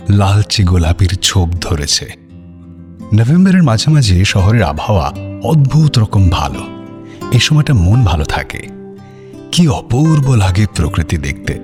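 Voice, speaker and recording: A man narrating a scripted literary story for radio in a descriptive, dramatic storytelling manner. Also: sits low. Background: guitar music.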